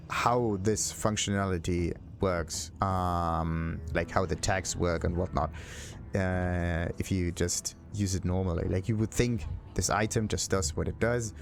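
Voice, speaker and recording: Low-pitched man musing over a game. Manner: rambling, musing to himself. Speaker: let's play host. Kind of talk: casual video game commentary, thinking aloud.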